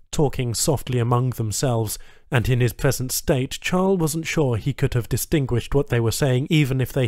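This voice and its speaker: A man reads a story as an audiobook narrator. His pitch is middling for a male voice, and the recording is close and dry, with no room sound. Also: darkish tone, no echo.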